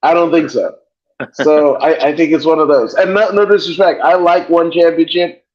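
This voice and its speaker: A man's voice: a little high, steady tone, moderate pace, darkish tone. A man hosting a podcast in casual chat, profane and rambling, joking as he goes. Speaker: podcast host. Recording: dull lo-fi sound.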